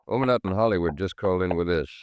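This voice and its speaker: An American actor playing a businesslike police chief in a 1950s radio crime drama, terse and plain. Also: male voice, low-pitched, racing, a little mellow, slight background noise, lightly acted. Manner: terse, reporting facts plainly.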